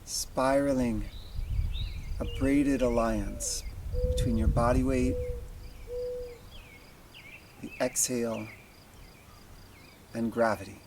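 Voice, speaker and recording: A man leading a guided meditation as a teacher, speaking in slow, deliberate phrases with long pauses. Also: medium pitch, steady tone, background noise.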